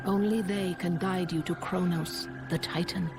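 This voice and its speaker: A stately, commanding goddess acted by a woman with a low voice. She is grave, formal and deliberate, guiding a hero in a game cutscene over prominent music. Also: steady tone, close to an everyday voice. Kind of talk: video game cutscene acting.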